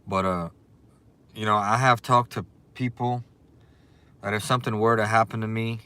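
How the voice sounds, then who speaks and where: A man with a thick Cajun accent hosts a laid-back livestream, chatting easily and answering viewers as their comments roll in. His voice sits a little low for a man, loose and unhurried, with a friendly Southern drawl. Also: clean tone. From Louisiana. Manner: relaxed, chatty, reads and greets viewers' comments. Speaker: livestream host. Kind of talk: casual livestream chat.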